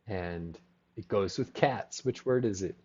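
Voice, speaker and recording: A man teaching English from his home over a video call, his lowish voice coming through slightly muffled, lo-fi audio. He is encouraging, laughs often and slows down to explain each word. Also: darkish tone, slightly rough. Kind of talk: casual conversational lesson.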